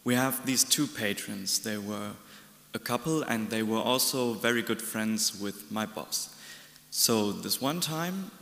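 A young man from Germany tells a library story in English at a podium, speaking in a relaxed, easygoing way. His voice is of ordinary pitch for a man. A large hall adds long, roomy reverb. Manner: relaxed storytelling, brief chuckles. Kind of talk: telling an anecdote. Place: large conference hall stage. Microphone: podium microphone. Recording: echoey hall.

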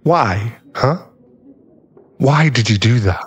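A man playing a bitter, heartbroken young school bully in an audio roleplay, scornful and aggrieved, with a gruff protective streak. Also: medium pitch, lively intonation, slow pace. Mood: bitter, angry. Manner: aggrieved, swearing, scornful, dropping into breathy muttering. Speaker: ASMR roleplay voice actor. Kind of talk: ASMR boyfriend audio roleplay.

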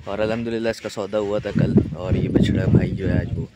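A man with a Pakistani Urdu accent talks straight to his viewers in an easy, rambling vlog manner, full of fillers. His voice sits low and stays flat. He is recorded on a phone outdoors at a cattle farm, with heavy background noise of animals and vehicles. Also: brisk. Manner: chatty, addresses viewers directly, many fillers. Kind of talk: casual vlog narration.

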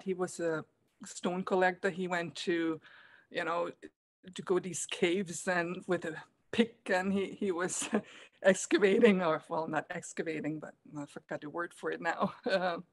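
A middle-aged woman from Germany, a guest on a casual video call, speaking softly and hesitantly with frequent pauses. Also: on the low side, steady tone. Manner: soft-spoken, hesitant, many ums, laughs often. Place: room at home, indoors. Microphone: laptop webcam video call.

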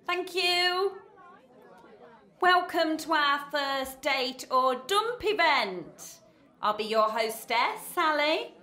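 A woman's voice: extremely high pitch, pushed and bright, exaggerated voice. A woman playing a bubbly, bossy speed-dating hostess in a radio play, announcing playfully and theatrically to a room. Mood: calling for attention. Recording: roomy, echoing sound.

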